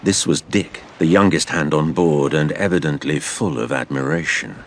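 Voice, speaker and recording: Deep, creaky adventure narrator. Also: male voice, moderate pace, a little hiss or hum. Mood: grave, measured. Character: man recounting a pirate adventure from memory. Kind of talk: scripted dramatic narration.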